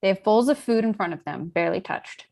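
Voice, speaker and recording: Woman narrator reading script directions, normal mid pitch, scripted narration. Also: animated, quick pace, gravelly, darkish tone.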